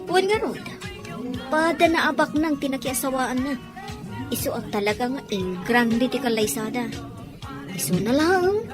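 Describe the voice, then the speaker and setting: A woman's voice: high voice, expressive, measured pace, long pauses, music in the background. A woman playing a chatty wedding guest in a radio drama. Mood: gossipy.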